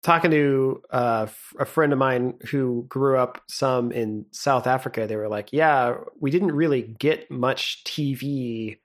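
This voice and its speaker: A young man co-hosting a podcast in casual chat, relaxed and rambling, laughing often. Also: mid-range voice, a little slow, few pauses. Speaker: podcast co-host.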